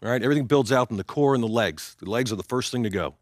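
A man's voice: medium pitch, rapid-fire, no room sound, clean studio sound, lightly acted. A man playing a middle-aged athletic trainer in a video game, with an American accent and a firm, matter-of-fact coaching manner. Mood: instructive. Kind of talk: naturalistic video game cutscene acting.

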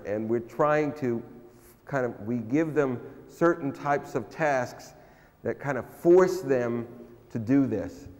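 Older man, lecturer, relaxed, hesitant, fillers, long hall reverb. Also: mid-range voice. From United States. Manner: relaxed, hesitant, many ums. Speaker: guest lecturer. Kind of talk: answering audience questions after a lecture. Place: large frescoed hall, indoors.

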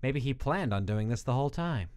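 A man playing a wary, skeptical young skeleton boy in an animated comic dub, casual, hesitant and dryly guarded. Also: medium pitch, a little fast, smooth, natural voice. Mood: bitter, resigned.

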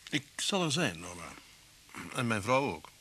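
An actor playing a steady, genial police inspector in a radio drama. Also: male voice, mid-range voice, unhurried. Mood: reserved. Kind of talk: radio drama acting and narration. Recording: old hissy radio recording.

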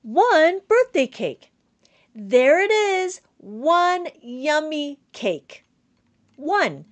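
A middle-aged woman reading a children's counting book aloud as a teacher, animated and sing-song, drawing out words for young children. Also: sits high, extremely slow. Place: small indoor room at home.

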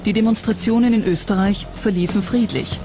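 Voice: mid-range voice, fairly even intonation, brisk. A documentary narrator reading a scripted account. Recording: music under the voice. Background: background music.

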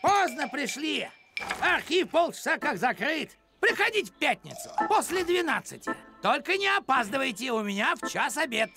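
Officious old cartoon village postman; high, creaky, huffy, music underneath. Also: very animated, moderate pace, exaggerated voice. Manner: officious, indignant. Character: fussy, stubborn elderly village postman. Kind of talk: animated cartoon voice acting.

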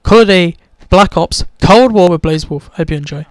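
A man's voice: high-pitched, a little fast, no room sound. A young man hosting a gaming video, chatting casually, swearing and laughing over the game. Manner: swears a lot, laughs, gets loud and excited. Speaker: gaming channel host. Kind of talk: casual gameplay chat. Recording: game audio with gunfire behind. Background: gunfire from the game.

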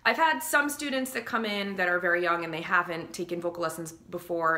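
A woman in her thirties, a vocal coach, talking casually to the camera from a small home studio and now and then singing examples. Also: lowish voice. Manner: chatty and animated, breaks into sung examples.

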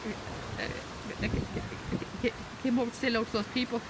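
A visitor at a horse stud who talks loudly with a severe stammer and keeps repeating words. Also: medium pitch, steady tone, moderate pace. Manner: loud voice. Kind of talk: casual chat to the camera operator. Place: outdoors by grass fields with wind. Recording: loud wind and outdoor noise.

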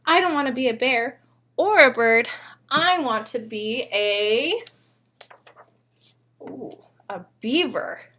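A young woman voicing a discontented little boy bunny from a picture book, in emphatic, drawn-out reading. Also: fairly high pitch, animated, pushed and bright, heavily acted. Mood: discontented, wishful.

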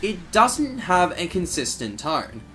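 A man's voice: sits high, big pitch swings, slow pace, sharp tone, soft background music. A man hosting a video essay, narrating from a script.

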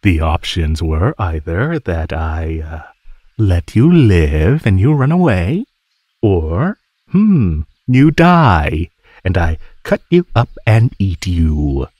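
A man voicing a teasing, menacing male naga in an ASMR roleplay, speaking in a mocking, condescending drawl with pauses. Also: low-pitched, big pitch swings, a little mellow, slightly rough, no echo, studio quality, full character voice. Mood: bored, taunting. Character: teasing, menacing male naga predator toying with captive prey.

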